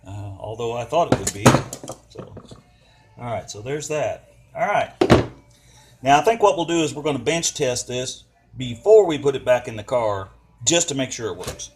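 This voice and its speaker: A man's voice: medium pitch, animated, normal speed, pauses often, a little mellow, slight background noise. An older man, talking casually and colloquially to himself as he narrates a hands-on repair. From United States. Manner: folksy, colloquial, muttering to himself while working. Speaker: DIY mechanic, video host.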